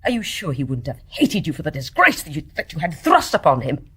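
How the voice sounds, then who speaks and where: An actress playing an embittered, devoted nurse in a radio play, with fervent, scornful, emphatic delivery. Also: female voice, deep voice, big pitch swings, fairly quick, fairly bright tone, breathy edge, full character voice. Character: embittered, devoutly loving nurse, accusing and anguished. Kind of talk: intense stage-play dramatic acting.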